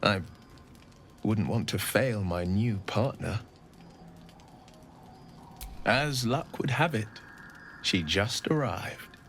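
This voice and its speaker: An actor playing a courtly, romantic young adventurer in a fantasy video game, tender and bashful, with gallant, poetic phrasing. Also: male voice, lowish voice, takes it slowly, long pauses, fairly bright tone, a little hiss or hum. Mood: charmed, tender.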